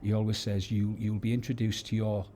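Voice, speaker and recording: A man's voice: lowish voice, flat delivery, a little fast, mellow, a little hiss or hum. A middle-aged or older man, a stage actor, giving chatty interview answers backstage. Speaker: pantomime actor, award winner. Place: backstage at an awards venue.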